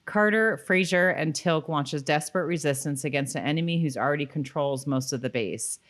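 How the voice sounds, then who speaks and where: A woman's voice: sits low, brisk. A woman co-hosting a podcast, talking informally as she goes over a TV episode. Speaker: podcast co-host. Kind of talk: casual chat recapping a TV episode.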